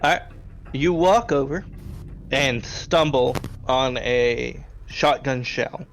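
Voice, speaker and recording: A man's voice: medium pitch, takes it slowly, gravelly, a little hiss or hum. A man running a tabletop game as game master, describing scenes in a matter-of-fact, conversational way. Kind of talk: casual tabletop game narration.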